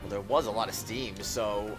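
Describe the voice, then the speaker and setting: A man's voice: fairly low pitch, normal speed, over music, close to an everyday voice, low-quality recording. A man voicing a flustered, defensive young anime hero in a visual novel. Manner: defensive, excitable.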